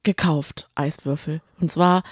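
A woman with a fairly low voice co-hosts a casual podcast. She talks in a loose, colloquial, rambling storytelling style. The sound is very dry, with no room around it. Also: fairly even intonation, measured pace, no echo. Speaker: podcast co-host. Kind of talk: casual chat.

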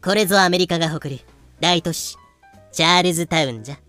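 A woman's voice: lowish voice, fairly quick, fairly bright tone, faint music behind, lightly acted. A woman playing a proud female merchant in an audio drama, lecturing in haughty, old-fashioned speech. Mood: boastful. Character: proud, archaic-talking female merchant from colonial Carolina. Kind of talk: in-character explanation in a voice drama.

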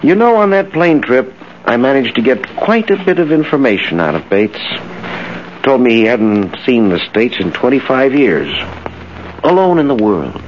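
An actor playing a smug, silky blackmailer with a suave, mock-friendly manner, in an old radio drama. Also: male voice, medium pitch, sing-song, moderate pace, lightly acted. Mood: sly, menacing. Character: smug, silky male blackmailer posing as business partner. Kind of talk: 1940s radio drama acting. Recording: muffled, hissy old radio recording.

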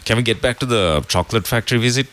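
A man's voice: medium pitch, racing, a bit bright, slight background noise. A man co-hosting a breakfast radio show, reading listener messages and agreeing along in casual banter.

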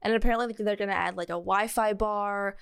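A woman's voice: mid-range voice, fairly even intonation, quick pace, creaky, clear, resonant tone. An American woman co-hosting a podcast in casual, comedic chat, rambling and giggly as she goes. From Maryland, United States. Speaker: podcast co-host.

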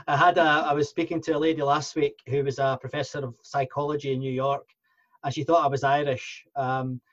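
A man's voice: medium pitch, little pitch movement, normal speed, dull audio. A Scottish man hosting a conversational podcast interview in a polite, enthusiastic manner.

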